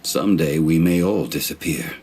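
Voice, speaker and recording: An actor playing a calm, ancient god in a video game, speaking in a formal, measured, contemplative way. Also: male voice, rumbling low, soft background music. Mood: solemn reflection. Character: calm, ancient male god reflecting on history. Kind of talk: scripted video game voice acting.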